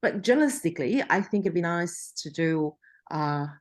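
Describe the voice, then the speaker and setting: Woman, middle-aged, low-pitched, conversational, frequent restarts. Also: animated, moderate pace. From Australia. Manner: chatty, frequent restarts and laughter. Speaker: journalist. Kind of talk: interview conversation.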